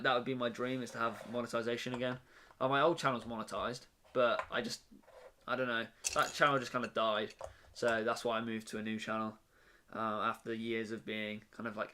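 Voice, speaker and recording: A man's voice: medium pitch, bright, forceful tone, slight background noise. A young man chatting casually on a live stream from his bedroom while he builds, rambling with lots of fillers and restarts.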